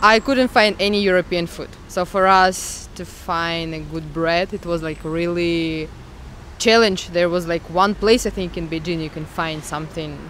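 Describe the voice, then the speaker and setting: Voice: medium pitch, steady tone, normal speed, a bit bright, slight background noise. A speaker from Russia, answering plainly and unhurriedly. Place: city street outdoors.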